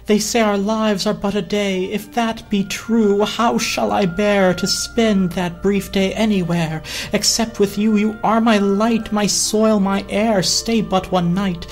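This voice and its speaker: A woman's voice: mid-range voice, steady tone, unhurried, few pauses, a little mellow, soft background music, close to an everyday voice. A woman voicing a lovestruck daisy that gushes ornate, pleading rhymed verse in a dramatic storybook reading. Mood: ardent pleading.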